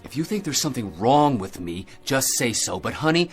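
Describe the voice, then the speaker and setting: An actor playing a shaken American astronaut husband in a radio drama, speaking earnestly and haltingly and insisting he is rational. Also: medium pitch, sing-song, soft background music, natural voice. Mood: troubled, insistent. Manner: halting, earnest delivery with repeated words.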